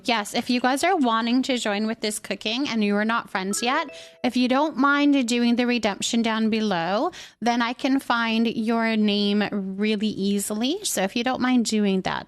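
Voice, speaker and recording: A woman's voice: fairly high pitch, measured pace. A woman hosting a game livestream, chatting casually with viewers in a giggly, talkative manner. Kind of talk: casual chat with viewers while playing a cooking game.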